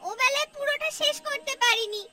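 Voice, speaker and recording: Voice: very high-pitched, fairly quick, recorded from a distance. A performer voicing a greedy, stubborn married woman in a Bengali family cartoon, whiny and bossy in manner. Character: greedy, stubborn married woman visiting her parents' home.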